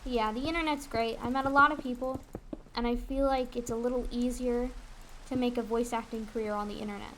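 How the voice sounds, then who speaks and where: A woman's voice: fairly high pitch, steady tone, a little fast, fairly bright tone, a little hiss or hum. A young woman chatting casually and candidly on a livestream, rambling along with easy swearing as she games. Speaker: streamer and aspiring voice actress. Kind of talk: casual livestream chat while gaming. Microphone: USB desk condenser mic.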